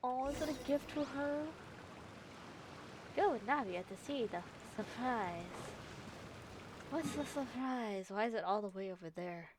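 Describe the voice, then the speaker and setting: Higher-pitched woman livestreaming a game. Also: expressive, unhurried, long pauses, background noise. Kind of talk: casual live reactions to a game.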